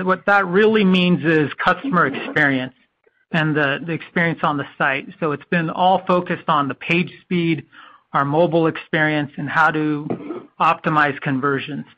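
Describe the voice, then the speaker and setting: A male company executive gives remarks on an earnings call. His voice sits a little high for a man. The recording is somewhat dull and lo-fi. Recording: dull lo-fi conference call audio.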